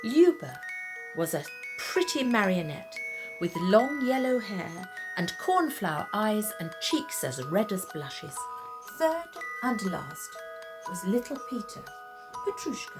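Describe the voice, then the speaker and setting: A woman reading a children's tale as a storyteller, in dramatic, expressive narration with deliberate phrasing and pauses. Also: mid-range voice, rough recording.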